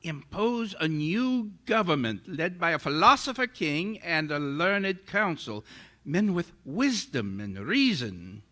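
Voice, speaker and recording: A man's voice: on the high side, slow, full character voice. A man acting as a fervent, condescending mad scientist in a radio drama, theatrical and grandiose. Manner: grandiose, theatrical, stammers when excited. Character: megalomaniac invisible male scientist, fervent and condescending.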